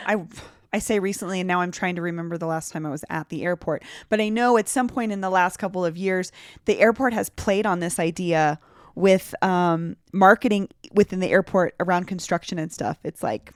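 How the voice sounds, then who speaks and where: A woman's voice: on the low side, normal speed, no echo, clean studio sound. A woman hosting a podcast in a casual, conversational interview style. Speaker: podcast host. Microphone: remote video call recording.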